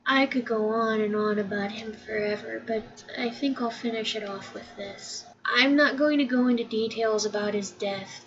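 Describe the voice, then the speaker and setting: Soft heartfelt woman, distant hissy recording. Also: medium pitch. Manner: soft and heartfelt, close to tears at times. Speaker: podcast host. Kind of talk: personal monologue. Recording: distant mic, dull sound, steady background hiss.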